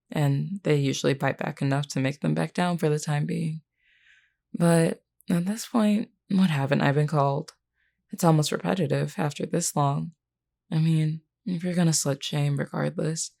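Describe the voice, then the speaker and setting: A self-assured werewolf woman, voiced by an actress in an intimate roleplay. Her voice sits very low, with heavy gravel and a dark, mellow tone. She is flirty and blunt, teasing the listener directly. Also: steady tone. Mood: frank, fed up. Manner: teasing, blunt, unhurried, uses pet name "hun". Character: flirty, defiant adult female werewolf, wounded but self-assured.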